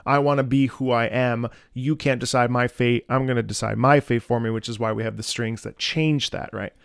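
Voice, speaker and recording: Casting: male music commentator, mid-range with vocal fry, casual, thoughtful, halting, then emphatic. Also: mid-range voice, steady tone. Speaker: reaction host, opera singer.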